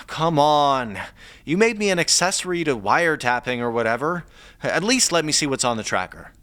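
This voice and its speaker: A man's voice: on the high side, lively intonation, moderate pace, a bit bright. A man playing an amateur sleuth's nosy, persistent sidekick in an audio drama, chatty and eager. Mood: playful pleading. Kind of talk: naturalistic audio drama acting.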